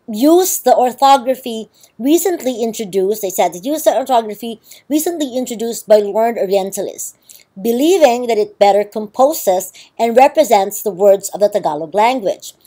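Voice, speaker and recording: A woman's voice: on the high side, darkish tone. A woman with a Filipino accent giving a university lecture, explaining with frequent ums and checking okays. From Philippines.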